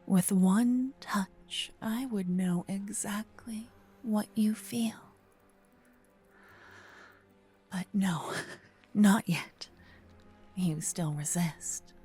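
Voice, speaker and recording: A woman voicing a gentle, possessive old female vampire in an ASMR roleplay, murmuring and whispering close to the microphone. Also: medium pitch, faint music behind, exaggerated voice. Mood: teasing, intense. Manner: breathy, slow whispering and murmuring. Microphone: close binaural microphone.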